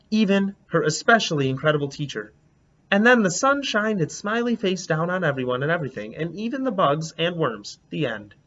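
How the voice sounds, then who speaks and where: A man reading a children's picture book aloud expressively, pausing to ask his listeners questions. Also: on the high side, fairly bright tone. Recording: dull lo-fi recording.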